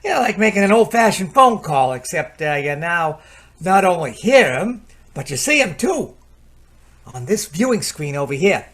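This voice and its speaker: A man's voice: sits high, big pitch swings, moderate pace, a bit bright, exaggerated voice. Chatty, folksy salesman played by a man. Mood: confident explaining. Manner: chatty, hemming and hawing, colloquial. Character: folksy, patient salesman of home computer gadgets. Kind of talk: radio play acting.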